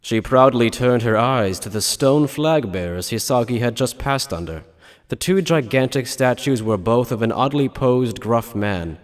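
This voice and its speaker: A man narrates scripted, dramatic story prose. His voice sits at a middle pitch, and a long reverb trails behind his words. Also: lively intonation, measured pace.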